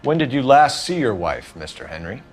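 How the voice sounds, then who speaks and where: Stern homicide detective, lively accusing delivery, faint music, game dialogue. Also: male voice, mid-range voice, moderate pace. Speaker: lead detective.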